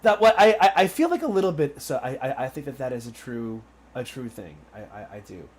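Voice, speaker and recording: A man's voice: mid-range voice, very animated, brisk, darkish tone, husky. A man from New York City hosting a casual interview, chatty and often restarting his sentences. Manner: stammering restarts, chuckling, chatty. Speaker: podcast host.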